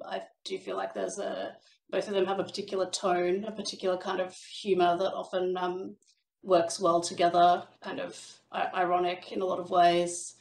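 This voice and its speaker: A woman's voice: fairly low pitch, reverberant, poor audio. A woman speaking on a video call panel with headphones, thoughtful and full of ums. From Australia.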